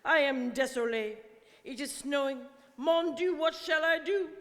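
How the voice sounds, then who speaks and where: Man acting high-voiced, anxious French manservant. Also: unhurried, fairly bright tone, exaggerated voice. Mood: distraught. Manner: plaintive, dramatic. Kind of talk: stage radio-drama acting. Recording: hall reverb, community studio performance.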